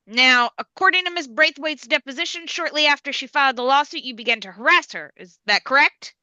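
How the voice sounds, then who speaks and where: A woman's voice: high-pitched, fairly quick, sharp tone, no room sound, dull audio. A woman playing a stern, no-nonsense courtroom judge, authoritative and formal, in a live comedy table read. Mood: brisk formal.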